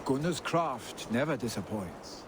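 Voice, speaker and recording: A man voicing a confident Viking jarl's son and loyal friend in a video game, assured and expressive. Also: medium pitch, measured pace, noisy background, lightly acted. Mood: appreciative. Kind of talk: video game cutscene acting.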